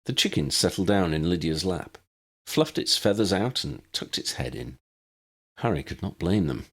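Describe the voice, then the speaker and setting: Animated low-pitched male storyteller. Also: normal speed, breathy edge. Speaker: audiobook narrator. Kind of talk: scripted fiction narration.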